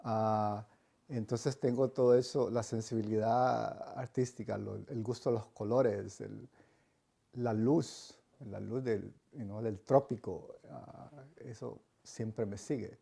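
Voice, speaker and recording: Middle-aged man, architect, gravelly and mellow, thoughtful, faint music under him. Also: on the low side, fairly even intonation, slow pace. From El Salvador. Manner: thoughtful, many fillers, mixes in English. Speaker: architect and engineer, interviewee. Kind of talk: reflective interview.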